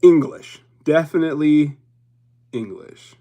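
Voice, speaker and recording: A man's voice: a little high, animated, slow, mellow. Chatty man rambling on a livestream. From Hawaii. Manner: rambling, chatty, laughs often. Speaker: YouTube livestream host. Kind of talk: casual livestream chat with viewers.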